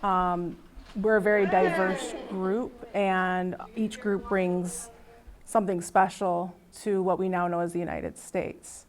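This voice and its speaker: A woman interviewee speaking thoughtfully, with frequent ums and slow pauses. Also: on the low side, little pitch movement.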